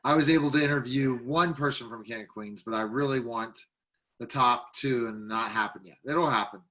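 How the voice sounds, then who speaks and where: A man's voice: mid-range voice, normal speed, pushed and bright. A man hosting a casual interview chat over a video call. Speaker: interviewer, show host.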